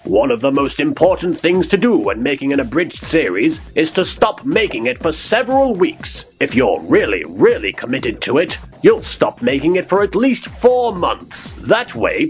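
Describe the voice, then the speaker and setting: A man narrates a parody how-to over background music. His voice sits fairly high and swings around a lot, with a pompous, sarcastic air of self-satisfied authority. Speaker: presenter of a parody tutorial. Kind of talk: scripted comedic instructional narration.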